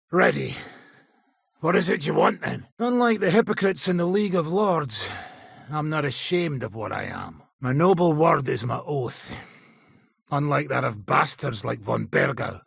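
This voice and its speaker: An actor playing a gruff, middle-aged, foul-mouthed mercenary nobleman in a video game, blunt and cocky, with a raspy, gravelly voice. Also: medium pitch, a bit bright, dry recording, studio quality, heavily acted. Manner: blunt, swaggering, earthy swearing. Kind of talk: video game character acting. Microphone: close, dry studio microphone.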